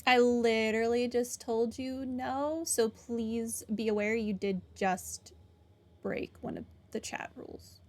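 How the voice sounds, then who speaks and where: A woman's voice: fairly high pitch, steady tone, slow. A woman giving live game commentary as a streamer, chatting casually with a playful sarcastic streak. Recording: faint game music behind the voice.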